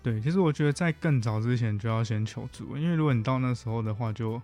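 A man's voice: medium pitch, fast talker, darkish tone, faint music behind. A man with a Taiwanese Mandarin accent explaining things as a guest counselor, informal and somewhat hesitant, often repeating himself. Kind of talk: casual explanatory interview talk.

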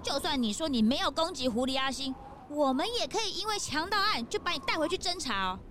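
A woman playing an eager, excitable police sidekick in a children's audio drama, speaking in a bouncy, exclamatory way. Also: high-pitched, quick pace, background noise.

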